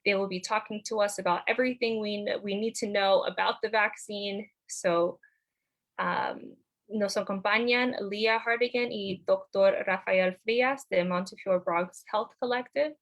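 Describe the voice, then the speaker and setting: A woman's voice: medium pitch, moderate pace. A woman hosting an online community info session in a plain announcing way, switching between two languages. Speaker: event host. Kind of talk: bilingual event moderation.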